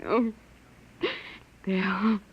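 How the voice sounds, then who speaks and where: An actress playing a frightened, fragile wife on the run in old radio drama, breathless and pleading. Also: female voice, medium pitch, flat delivery, very slow, breathy edge, heavily acted. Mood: shaken, pleading. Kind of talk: 1950s radio drama acting. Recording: muffled old radio, music behind.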